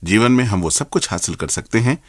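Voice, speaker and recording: A man's voice: lowish voice, expressive, a little fast, no echo, studio quality. A man co-hosting a radio show with scripted presenting.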